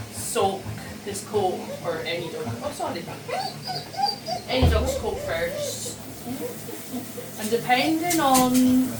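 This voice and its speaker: Chatty Scottish woman in an echoey grooming room. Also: high voice. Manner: chatty, rambling, coos and scolds the dog. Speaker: dog groomer. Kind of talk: casual chat while grooming. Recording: echoey room, far from mic, loud water noise.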